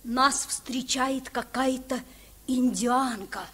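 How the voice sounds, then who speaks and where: A woman playing an alert, excitable young seafarer in an old children's radio play. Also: high-pitched, slow, full character voice. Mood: excited, watchful. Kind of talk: mid-century Soviet radio drama acting. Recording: old radio recording, faint outdoor noise.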